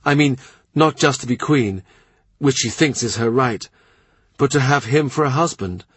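A man's voice: medium pitch, normal speed, fairly bright tone, slightly rough, no echo, pitched above the performer's own voice. A man voicing a bold young woman who talks in a confiding, teasing way, in a storybook reading.

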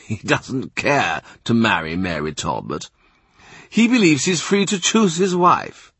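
A man plays a cold, smooth diplomat who picks each word with care and pauses with discretion. The voice is husky and close to the reader's own. The pitch rises and falls freely and the sound is dry. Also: wildly swooping pitch, a bit bright, dry recording, exaggerated voice. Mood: discreet. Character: cool, smooth, evasive male diplomat.

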